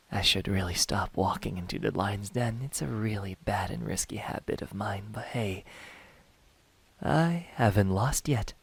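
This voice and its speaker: A smug, menacing demon hunter, voiced by a man in an ASMR roleplay. His voice is low and husky, often sinking toward a whisper. He taunts his prey slowly and deliberately, taking his time over each phrase. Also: dry recording, heavily acted. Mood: wry, relieved. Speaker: amateur voice actor.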